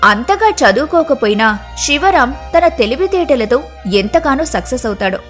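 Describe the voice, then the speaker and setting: A woman serves as narrator of a scripted moral story. Her voice is on the high side, with soft music underneath. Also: very animated, moderate pace.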